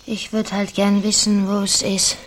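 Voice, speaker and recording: A woman's voice: medium pitch, monotone, a little slow, a little hiss or hum, lightly acted, rough recording. Actress as a meek, pleading young backwoods woman. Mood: timid, pleading. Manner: timid, pleading, hesitant. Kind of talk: radio drama acting.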